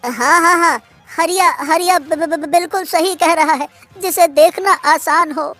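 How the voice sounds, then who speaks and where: Blustery male villager for a kids' cartoon, extremely high voice over faint music, female performer. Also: a little slow, fairly bright tone. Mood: terrified, stuttering. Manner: teasing bluster, stutters when scared. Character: mocking, skeptical village man, Hariya's companion Balram. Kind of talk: Hindi kids' cartoon story acting.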